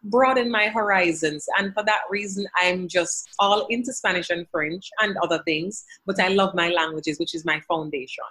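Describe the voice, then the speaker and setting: A woman's voice: fairly low pitch, normal speed, hardly any pauses, sharp tone, rough recording. A woman guest on a podcast interview, speaking earnestly from her home office and quoting scripture. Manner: earnest, faith-filled, quotes scripture. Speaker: podcast guest, language educator. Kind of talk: conversational interview answers.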